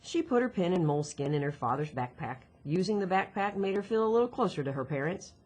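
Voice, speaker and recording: A woman's voice: fairly low pitch, expressive, quick pace, a little mellow, breathy edge. A woman narrating a children's novel expressively, reading from the text. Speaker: audiobook reader, teacher. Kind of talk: expressive scripted story narration.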